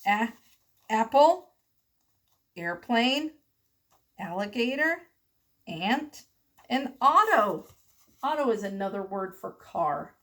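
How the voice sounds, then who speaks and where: Woman, higher-pitched voice, very slow pace, children's read-aloud lesson, deliberate enunciation. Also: very animated, a bit bright. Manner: deliberate enunciation, prompting with questions. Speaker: teacher reading an alphabet picture book to young children.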